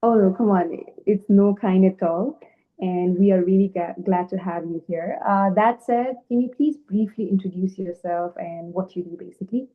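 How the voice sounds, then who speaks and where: A woman's voice: mid-range voice, moderate pace. Bubbly woman host on a tinny line. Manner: bubbly, enthusiastic, filler-heavy. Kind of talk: casual interview hosting. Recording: tinny phone-like call line.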